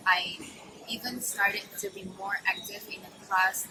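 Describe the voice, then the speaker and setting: A woman's voice: mid-range voice, steady tone, unhurried, noisy background. A young woman from Malaysia with a Malaysian accent answers interview questions casually, thinking aloud in short, hesitant phrases. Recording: music playing behind the talk.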